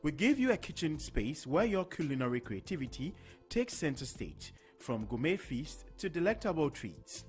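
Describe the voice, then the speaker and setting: A man's voice: a little high, sing-song, normal speed, soft background music. A man voicing a scripted advertisement in a polished, selling delivery. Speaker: ad voice-over announcer.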